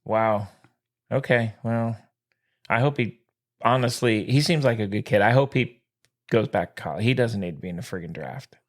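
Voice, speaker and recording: A man co-hosting a podcast, chatting excitedly about sports and swearing freely. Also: moderate pace. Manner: excitable, swears, lively swings in pitch. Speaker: podcast co-host. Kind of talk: casual sports chat.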